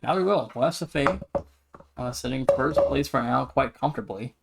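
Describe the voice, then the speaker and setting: A man's voice: medium pitch, animated, moderate pace, creaky, background noise. A man co-hosting a sports podcast, casually running through standings and team updates. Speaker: podcast co-host.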